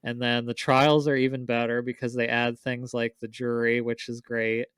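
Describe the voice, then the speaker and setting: A man's voice: medium pitch, little pitch movement, normal speed, a bit bright, clear, resonant tone, no room sound. A man chatting casually as a podcast co-host, enthusiastic and rambling about his favorites.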